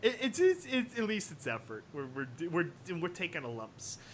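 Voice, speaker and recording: A man hosting a livestream, chatting casually in a halting, joking way. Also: high voice, very animated, a crowd in the background. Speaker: livestream host and reader.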